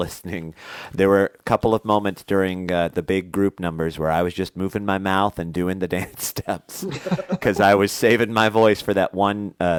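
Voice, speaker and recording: Podcast co-host, male, thirties, very low and smooth voice, nasal from a cold, talks in long rambling stretches. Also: no echo. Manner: rambling, chatty, many fillers. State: congested with a head cold. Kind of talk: casual chat.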